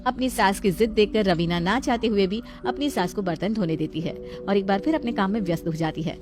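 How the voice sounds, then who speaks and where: A woman's voice: medium pitch, brisk, darkish tone. A woman telling a scripted dramatic story as the storyteller. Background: background music.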